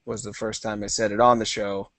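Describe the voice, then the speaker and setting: A man's voice: fairly low pitch, little pitch movement, brisk, crowd noise. A man hosting a casual interview, asking upbeat, curious questions. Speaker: interview host.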